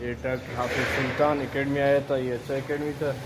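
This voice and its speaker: A man's voice: medium pitch, little pitch movement, a little fast, heavy background noise. A young man from Pakistan chatting casually as a vlogger, thinking aloud and restarting his sentences. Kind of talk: casual vlog narration. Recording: loud music underneath.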